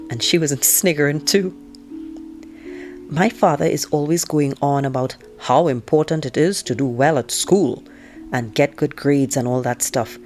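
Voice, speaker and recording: A woman reading a novel aloud like an audiobook narrator, expressively telling it as a teenage girl, with drawn-out emphasis. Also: low-pitched, darkish tone. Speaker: teacher reading aloud to students.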